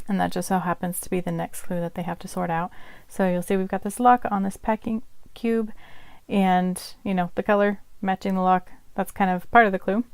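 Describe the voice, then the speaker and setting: Woman, young adult, first language Polish, casual tutorial, chatty, explanatory, smooth voice, dry close mic. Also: medium pitch, darkish tone, dry recording. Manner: chatty, explanatory, occasional laughs. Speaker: craft vlogger.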